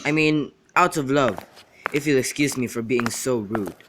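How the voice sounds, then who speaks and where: A young man in an amateur radio drama, playing a witty, teasing young gentleman and declaiming in a bantering way. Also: medium pitch, moderate pace, close to an everyday voice. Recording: clearly noisy amateur recording with clicks.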